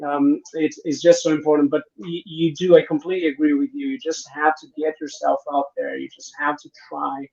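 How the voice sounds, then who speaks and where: Man, middle-aged, raised mellow voice, lo-fi call sound, reflective panel talk. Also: fairly high pitch, flat delivery, a little fast, creaky, hardly any pauses. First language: Portuguese. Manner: reflective, many restarts and "right?" tags. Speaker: panel guest, innovation consultant. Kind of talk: conversational panel discussion.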